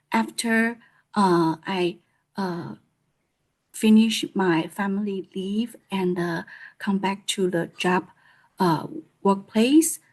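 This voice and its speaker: A woman from Taiwan with a Taiwanese Mandarin accent, a librarian giving a presentation, pausing often with "uh" between phrases. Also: medium pitch, darkish tone. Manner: halting, frequent "uh" fillers. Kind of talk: conference presentation.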